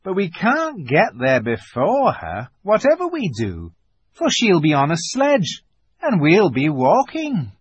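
A man voicing a brisk, unflappable, motherly female beaver in a storybook, with cosy, homely, practical talk. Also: sits high, huge pitch swings, breathy edge, no room sound, dull audio, pitched above the performer's own voice, exaggerated voice. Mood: brisk, calm.

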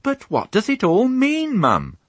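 A man's voice: huge pitch swings, a little slow, no echo, full character voice. Male reader doing a wide-eyed little piglet, raised childlike voice, storybook. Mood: puzzled. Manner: high, wondering, childlike questions. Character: young male piglet, innocent and eager.

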